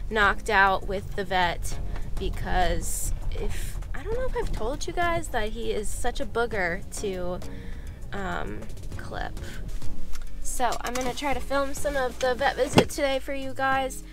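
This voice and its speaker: A woman's voice: fairly high pitch, animated, unhurried, music in the background. A young woman vlogging casually to a handheld camera, a little congested from a cold, chatty and upbeat with frequent ums, first in a car and then in a horse barn. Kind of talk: casual vlog chat to camera. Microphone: camera held at arm's length.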